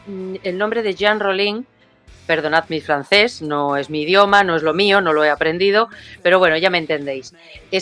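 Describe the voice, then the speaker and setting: A woman's voice: medium pitch, a bit bright. A woman hosting an interview, friendly and rambling in her questions. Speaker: host.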